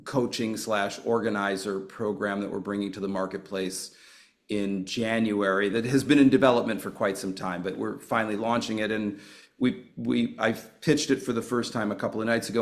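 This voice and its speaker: A man with a fairly low voice introduces himself on a video call. He rambles and restarts his sentences often, with plenty of fillers between thoughts. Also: steady tone, normal speed. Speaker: professional speaker and author.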